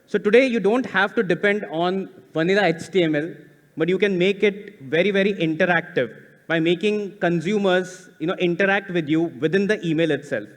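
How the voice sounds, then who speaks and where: A man's voice: high voice, brisk. A man giving a conference presentation, often restarting words and checking in with "right?". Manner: repetitive restarts, frequent "right?" tag questions. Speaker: conference speaker. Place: conference hall. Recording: long hall reverb.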